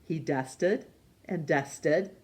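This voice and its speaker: A woman reading a children's storybook as narrator, expressive, with dramatic pauses and emphasis. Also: sits low. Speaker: storytime reader.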